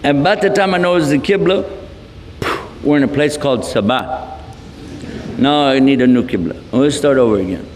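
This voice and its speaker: A Texan man speaks at an ordinary pitch in a loose, easygoing storytelling style, slipping jokes and asides into his lecture. His voice carries a long hall reverb, as if picked up from a distance in a large room. A faint background noise runs beneath it. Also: moderate pace, long pauses. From Texas. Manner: folksy, joking, storytelling with asides. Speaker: Islamic lecturer. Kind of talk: humorous public lecture.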